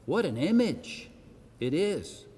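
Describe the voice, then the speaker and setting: A man delivering a formal public speech with measured, emphatic oratorical phrasing and the occasional rhetorical aside. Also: on the high side, sing-song, darkish tone, breathy edge. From Senegal. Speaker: arts organisation president giving a launch speech. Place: church hall, addressing a gathering. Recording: long echoing reverb of a large hall.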